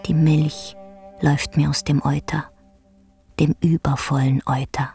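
A woman narrating a literary radio drama in a solemn, measured way, with a breathy, half-whispered voice. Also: low voice, flat delivery, a little mellow, faint music behind. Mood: mournful. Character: solemn, tender storyteller of a sad tale.

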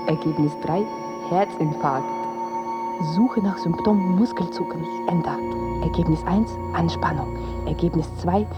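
Radio drama, actress as ominous female search voice: low, clinical, clipped recitation, music underneath. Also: measured pace, natural voice. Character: woman reading out internet symptom searches, clinical and ominous. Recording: music behind the voice.

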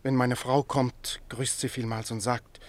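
An actor playing a meek, kindly little Greek tailor in a radio play, plaintive and earnest. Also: male voice, medium pitch, level tone, a little slow, lightly acted. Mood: resigned, brave. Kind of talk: classic German radio play acting.

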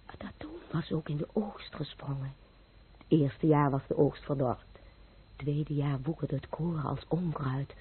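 An actor playing an anguished, devout survivor in a radio play, recounting horror in a grave, declamatory way. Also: male voice, on the high side, unhurried, slightly rough. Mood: solemn. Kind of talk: solemn radio play acting. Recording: tinny old radio recording, faint hiss.

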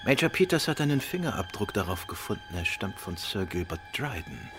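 Very deep-voiced, composed gentleman detective. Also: sing-song, brisk, noisy background. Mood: courteous, composed. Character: composed, courteous gentleman detective, affectionate husband. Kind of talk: German radio play acting.

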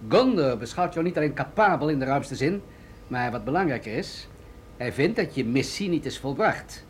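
An actor playing an authoritative, insistent military general in a radio drama, with a measured, persuasive delivery. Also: male voice, fairly high pitch, expressive, slight background noise, close to an everyday voice. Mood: firm. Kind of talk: Dutch radio drama acting.

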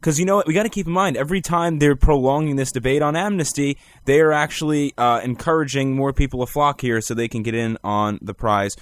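A man hosting a talk show, speaking with an emphatic, declarative delivery. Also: medium pitch. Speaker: podcast host.

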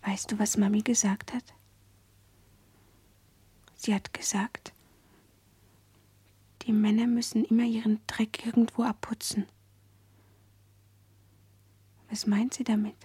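A woman's voice: medium pitch, flat delivery, unhurried, long pauses, mellow, slightly rough, dry recording. A woman acting a curious, playful teenage schoolgirl and younger sister in a 1970s German radio play. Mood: hushed, puzzled.

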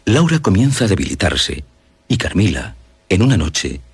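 A husky-voiced man with a Castilian Spanish accent giving a measured, portentous dramatic recap as a radio announcer. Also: sits low, sing-song. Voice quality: husky, rough. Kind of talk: dramatic scripted recap narration.

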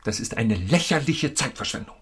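An actor playing an anxious, proud government minister, speaking formally and emphatically in a German radio drama. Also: male voice, mid-range voice, animated, normal speed, close to an everyday voice. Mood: indignant.

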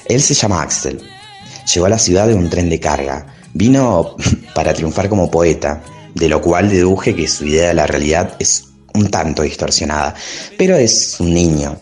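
A man's voice: low-pitched, darkish tone. A man playing a frank, flamboyant travesti poet who reads from a diary in a wry, theatrical way. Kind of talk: dramatic literary monologue over music.

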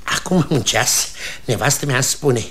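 An actor playing a fretful, servile town-hall jailer who laments and rambles in a radio comedy. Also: male voice, medium pitch, fairly bright tone, slight background noise, natural voice. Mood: fussy storytelling. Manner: lamenting, long-winded storytelling. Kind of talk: theatrical radio comedy acting.

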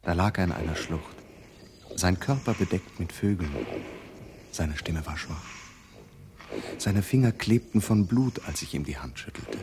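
A man playing an unflappable adventurer who narrates absurd exploits in a measured deadpan, in a scripted experimental radio play. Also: deep voice, noisy background. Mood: detached, narrating. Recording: music behind the voice.

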